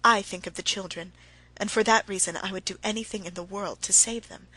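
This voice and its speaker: A woman's voice: mid-range voice, fairly even intonation, brisk, bright, forceful tone, dry recording, poor audio. A woman voicing an anguished, betrayed wife who cries out in rising, heated protest.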